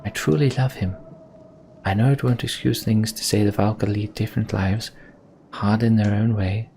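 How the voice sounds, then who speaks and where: A man voicing an earnest, devoted sorceress in a fantasy video game, measured and sincere. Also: fairly low pitch, steady tone, darkish tone, slight background noise, lightly acted. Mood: earnest, tender. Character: earnest, devoted female mender sorceress, solemn.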